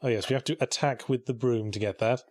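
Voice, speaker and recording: A man's voice: mid-range voice, fast, darkish tone, dry recording. Sardonic man, dry close sound. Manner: sarcastic, sardonic. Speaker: video game commentator. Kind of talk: joking game commentary with a co-host.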